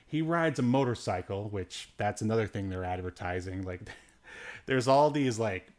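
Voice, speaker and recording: A man's voice: on the low side, animated, moderate pace, darkish tone. A man hosting a podcast, casually reviewing a movie in a rambling, joking way with sarcastic asides. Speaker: podcast host.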